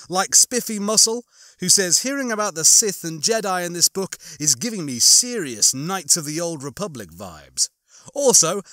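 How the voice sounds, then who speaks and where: A man's voice: high voice, pushed and bright, dry recording, studio quality. A man hosting an audiobook channel, chatting to his listeners and reading out comments with upbeat, swooping emphasis.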